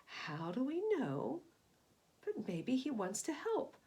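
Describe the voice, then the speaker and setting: A woman reader plays a male ship's captain in a children's storybook. He is steady and commanding, reasoning things out aloud with emphasis and taking charge of his crew. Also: a little high, takes it slowly, full character voice. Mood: calming, reasoning.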